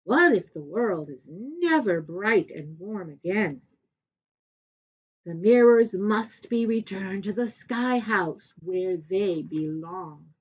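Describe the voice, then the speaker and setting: A woman voicing a modest, earnest male porcupine farmer in a storybook reading, plain-spoken and gently worried. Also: medium pitch, expressive, slow, husky, muffled recording.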